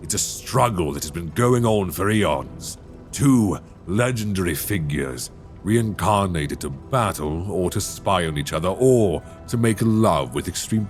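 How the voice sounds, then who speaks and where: Male actor as an ancient, towering dragon for an ASMR roleplay; low, unhurried, seductive and menacing, close binaural mic. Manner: slow, intimate, coaxing and menacing.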